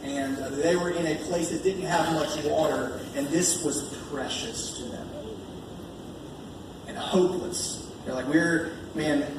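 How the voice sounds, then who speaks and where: A man's voice: a little high, fairly even intonation, unhurried. American guy preaching kinda casual n earnest, super echoey room, mic far off. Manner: conversational, earnest, repeating key phrases for emphasis. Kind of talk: informal sermon with scripture reading. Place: large echoey indoor church meeting space. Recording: echoey room, distant mic, background noise.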